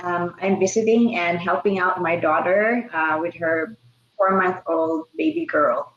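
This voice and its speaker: Hesitant woman host in her 50s; slow, roomy lo-fi call audio. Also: fairly low pitch, animated, fairly bright tone, slight background noise. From Philippines. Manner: hesitant, many pauses and fillers. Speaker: interview host. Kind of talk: casual online interview. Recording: echoey, dull-sounding room.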